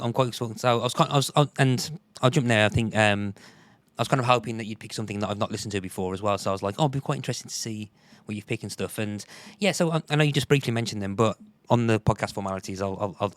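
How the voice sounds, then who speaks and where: Low-voiced man hosting a podcast, croaky. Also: no echo. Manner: rambling, restarts often, chuckles. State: slightly croaky voice. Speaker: podcast host. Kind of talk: casual podcast chat.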